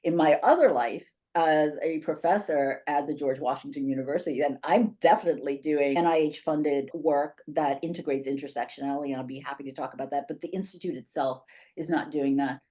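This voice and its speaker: Low-voiced woman in her fifties. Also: a little fast. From Bahamas. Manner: articulate, animated, gestures while speaking. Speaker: guest, professor and institute founder. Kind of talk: conversational interview answers. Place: home office, indoors. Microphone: webcam on a video call.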